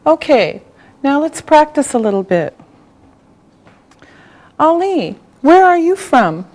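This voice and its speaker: A woman teaching a beginner English lesson. She speaks slowly and deliberately, saying one word at a time, repeating patterns and encouraging the class. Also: high-pitched, very animated, darkish tone. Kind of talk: scripted language lesson for beginners.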